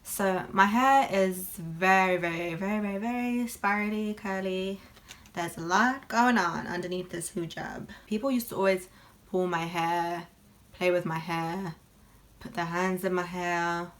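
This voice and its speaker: A woman's voice: fairly low pitch, fairly even intonation, unhurried. Chatty young woman vlogging. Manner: chatty and candid, lots of fillers. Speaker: beauty vlogger. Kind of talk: casual get-ready-with-me chat.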